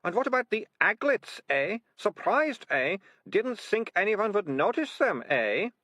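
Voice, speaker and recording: A man's voice: sits high, wildly swooping pitch, takes it slowly, bright, forceful tone, breathy edge, no room sound, pitched above the performer's own voice, full character voice. A man playing a haughty, snapping girl. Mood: accusing. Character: sharp-tongued bossy young girl.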